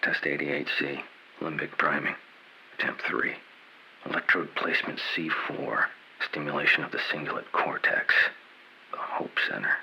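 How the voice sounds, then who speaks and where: An actor playing a cold, arrogant, vengeful scientist villain in a video game, with a low, breathy, deliberate delivery full of contempt. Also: male voice, steady tone, bright, forceful tone, a little hiss or hum, heavily acted, rough recording. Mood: cold, clinical. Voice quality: low, breathy, slipping toward whisper.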